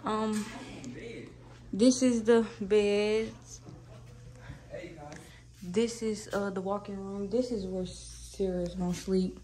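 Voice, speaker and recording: A woman's voice: mid-range voice, flat delivery, takes it slowly, long pauses, mellow. A young adult woman vlogging casually in informal, slangy talk, filming on a phone at arm's length. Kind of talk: casual vlog chat and house tour. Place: walking through apartment, hallways and parking garage. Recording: noisy handheld phone recording.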